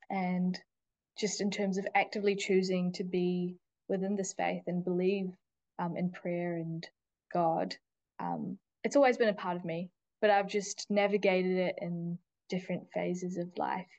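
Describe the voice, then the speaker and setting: A woman who is a teacher, a guest on a podcast, speaking casually and thoughtfully with many pauses and fillers. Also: on the low side, fairly even intonation, a little mellow, no room sound, lo-fi sound. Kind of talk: casual interview chat.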